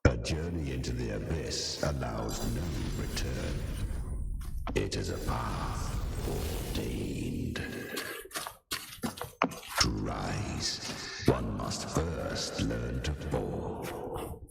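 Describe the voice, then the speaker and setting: An ancient demon beast speaks in an extremely deep, very gravelly voice. It hands down grave, scornful decrees in archaic game-fantasy speech, echoing under loud music. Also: male voice, lively intonation, full character voice. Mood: solemn, ominous. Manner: slow, archaic, portentous pronouncements. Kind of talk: video game voice acting.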